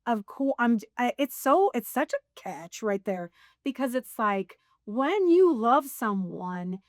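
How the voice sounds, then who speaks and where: A woman's voice: on the high side, sing-song, unhurried, no echo, studio quality. A woman in her sixties sharing her faith story in a casual conversation, rambling and laughing at herself. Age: 60s. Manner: rambling, self-deprecating, laughs often. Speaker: guest.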